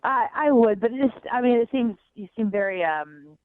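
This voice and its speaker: Female phone-in guest, fairly high voice, hesitant casual talk, slightly muffled dry line. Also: normal speed, no room sound. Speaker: phone-in guest on a dating segment. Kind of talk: casual chat. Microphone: telephone. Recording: dull, dry phone-call sound.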